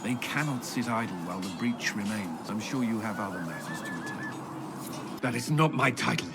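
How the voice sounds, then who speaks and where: An actor playing a stern, weary but resolute former templar commander in a fantasy video game, speaking in earnest, formal, soldierly phrases. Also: male voice, lowish voice, animated, moderate pace, vocal fry, heavy background noise. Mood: steady, resolute. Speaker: military commander and adviser. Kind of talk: video game character dialogue.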